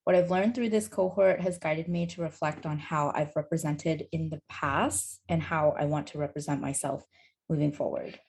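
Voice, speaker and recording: A woman with a low voice reads aloud a personal statement that she wrote. She speaks as a student participant in a presentation. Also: steady tone, normal speed, vocal fry, darkish tone. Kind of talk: reading own written reflection aloud.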